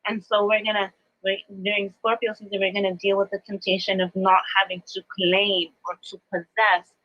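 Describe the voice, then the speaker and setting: Woman, mid-pitched, earnest spiritual teacher giving reflective live guidance; slightly muffled lo-fi sound. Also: little pitch movement, brisk, no room sound. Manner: earnest, reflective, explanatory, new-age vocabulary. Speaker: spiritual teacher, astrology adviser. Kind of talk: live spiritual coaching talk, semi-monologue.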